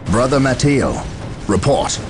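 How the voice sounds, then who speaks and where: A man playing a proud, devout space-marine captain in a video game, authoritative, formal and forceful. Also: medium pitch, sing-song, measured pace. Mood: commanding. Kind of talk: dramatic video game acting. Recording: loud music behind.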